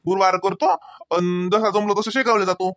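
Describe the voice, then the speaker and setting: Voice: mid-range voice, steady tone, fairly quick, fairly bright tone, dry recording, clean studio sound, close to an everyday voice. An actor voicing an eager, polite, easygoing college-age young man in a comedy cartoon, speaking colloquial Marathi with a Varhadi accent. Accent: Varhadi Marathi from Vidarbha. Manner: colloquial, obliging, reassuring.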